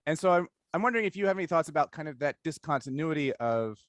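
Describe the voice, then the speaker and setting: A man's voice: a little high, expressive, fast, no room sound, studio quality. A man hosting a casual discussion interview, thinking aloud analytically in long stretches and often checking in with "right?". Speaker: interviewer, podcast host.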